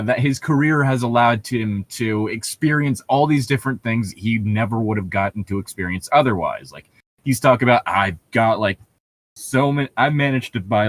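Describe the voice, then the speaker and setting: A man bantering casually as a co-host, jokey and opinionated, swearing freely. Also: mid-range voice. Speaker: co-host reacting to a fan fiction reading.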